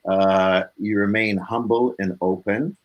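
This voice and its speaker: A man's voice: lowish voice, steady tone, takes it slowly, highs cut off. A man speaking as a musician guest in a casual interview, reflective and earnest in manner.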